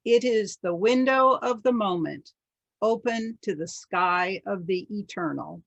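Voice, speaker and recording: Slow, calm woman reading liturgy. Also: mid-range voice, gravelly, no room sound, muffled recording. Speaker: worship associate.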